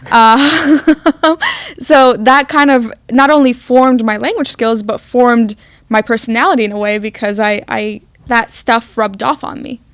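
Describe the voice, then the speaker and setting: A young woman from Egypt, with Arabic as her first language, co-hosting a casual chat podcast. She talks in a relaxed way, with fillers and long pauses while she thinks. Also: a little high, no echo. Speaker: podcast co-host.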